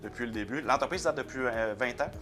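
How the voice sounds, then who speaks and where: A man's voice: mid-range voice, quick pace, over music. A man with a Québécois French accent, a company president explaining his work in a casual interview, matter-of-fact. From Quebec.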